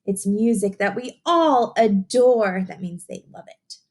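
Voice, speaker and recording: A woman's voice: medium pitch, animated, measured pace, darkish tone. A woman reading a picture book aloud to young children like a teacher, encouraging them with simple questions and chatty explanations.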